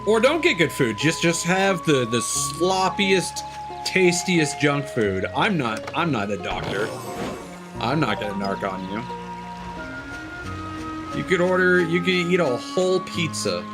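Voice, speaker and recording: A man chats casually as he streams a video game, tossing in playful jokes. His pitch swings in a lively way, and game music plays clearly behind him. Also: measured pace. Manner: joking, playful asides. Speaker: live streamer.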